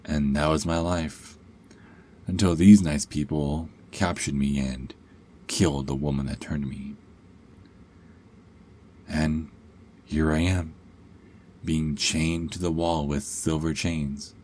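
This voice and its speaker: A man's voice: very deep voice, little pitch movement, slow pace, pauses often, darkish tone, a little hiss or hum. A man playing a cocky, sarcastic young adult werewolf held captive, in an ASMR roleplay with a taunting, sardonic drawl. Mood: wry storytelling.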